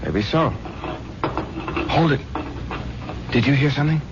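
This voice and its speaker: A man's voice: on the high side, a little slow, close to an everyday voice. An actor playing an uneasy policeman in a 1970s radio drama. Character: uneasy young policeman, nervous and observant. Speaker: Prouty. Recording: dull old radio with loud hum.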